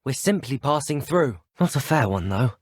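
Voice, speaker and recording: A man plays a courteous, brave young British wizard student for a video game. His delivery is articulate and measured in its wording, with lively, expressive pitch movement. The voice has a slightly husky grain and sits in a very dry, close recording. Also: no echo, natural voice. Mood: resolute. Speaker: player character in a video game. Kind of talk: video game voice acting, scripted lines.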